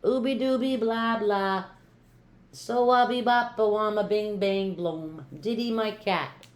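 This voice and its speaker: A woman voicing a cool, earnest male jazz cat in a children's storybook, with drawn-out, sing-song phrasing. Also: medium pitch, natural voice. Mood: playful scatting.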